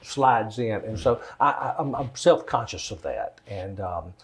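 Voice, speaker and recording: An older-sounding man with a deep Southern American accent, telling stories in a folksy, rambling way in a casual interview. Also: animated, a little slow, vocal fry, fairly bright tone, slight background noise. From Georgia, United States. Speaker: interview guest, songwriter and singer.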